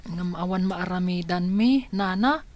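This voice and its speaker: A woman's voice: fairly low pitch, a little slow, a little mellow, close to an everyday voice. Woman playing a timid orphaned child. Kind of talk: radio drama acting.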